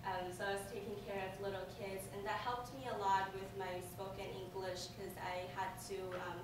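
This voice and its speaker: Young Chinese-accented woman, halting, echoey. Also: mid-range voice. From China. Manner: halting, many ums and restarts. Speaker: alumni speaker. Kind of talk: presentation talk with slides. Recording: echoey room from far off, noise.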